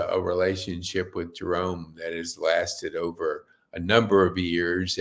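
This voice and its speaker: A male podcast host with a low voice speaks slowly and deliberately. He talks in a halting way and keeps starting his sentences over. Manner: halting, with many restarts and fillers. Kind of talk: interview hosting.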